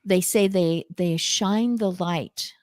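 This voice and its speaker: A woman with a low, thin alto voice, a guest on a video call speaking in a deliberate, upbeat and earnest way. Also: darkish tone, no room sound, studio quality. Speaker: guest charity representative. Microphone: video call, changing microphones.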